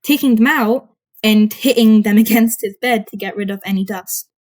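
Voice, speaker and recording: A woman's voice: mid-range voice, normal speed. A woman narrating fan fiction as an amateur audiobook, reading informally with some stumbles over words. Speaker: podfic narrator.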